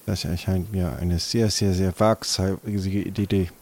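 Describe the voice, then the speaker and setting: Low, gravelly man in casual role-play. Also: steady tone, unhurried, darkish tone. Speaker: player in an improvised adventure.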